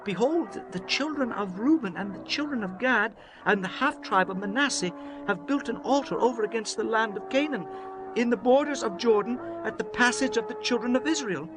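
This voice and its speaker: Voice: medium pitch, expressive, normal speed, over music, close to an everyday voice. A performer playing an Israelite messenger who declaims news in a dramatized scripture audiobook. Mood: alarmed.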